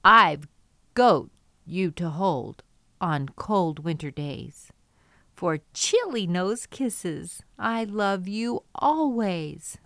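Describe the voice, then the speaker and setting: A middle-aged woman hosting storytime, reading aloud to young children with expressive, drawn-out emphasis. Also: lowish voice, no room sound.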